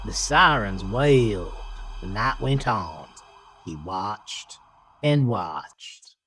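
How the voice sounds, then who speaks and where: A man narrating a horror story in a dramatic, theatrical style, with emphatic phrasing and deliberate pauses. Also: on the low side, lively intonation, pushed and bright. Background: music.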